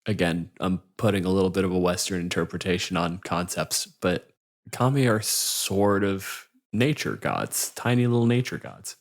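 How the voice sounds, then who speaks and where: Male podcast co-host, lowish voice, conversational, dryly humorous. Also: lively intonation, normal speed. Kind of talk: casual podcast chat.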